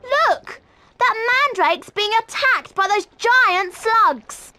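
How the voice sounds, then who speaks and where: A woman's voice: very high-pitched, lively intonation, slow, sharp tone, full character voice. A woman playing a clever, bossy, urgent schoolgirl witch in a video game, with some noise behind her. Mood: alarmed. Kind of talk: scripted video game dialogue.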